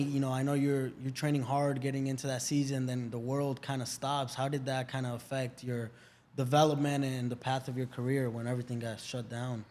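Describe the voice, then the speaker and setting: A man's voice: mid-range voice, a little mellow. A man hosting a podcast, asking questions casually and backing his guest up with frequent "yeah" and "hundred percent". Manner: eager, affirms often with "yeah" and "hundred percent". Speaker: podcast host. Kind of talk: casual interview talk.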